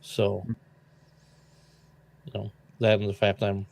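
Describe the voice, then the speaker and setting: A man's voice: on the low side, completely flat delivery, slow, vocal fry, darkish tone. A man joining a live stream, giving friendly advice and padding his talk with "you know.". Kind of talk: casual advice chat.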